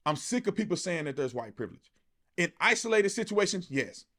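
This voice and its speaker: A man's voice: high-pitched, sing-song, fairly quick, a bit bright. A man giving an impassioned, colloquial opinion monologue, emphatic and repetitive, often throwing out rhetorical questions. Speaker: political commentator.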